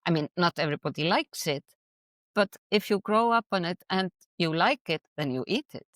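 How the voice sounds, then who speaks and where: A woman from Iceland, a guest chatting easily with many hesitant pauses. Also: lowish voice, expressive, a bit bright, no echo, studio quality. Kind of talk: casual interview chat.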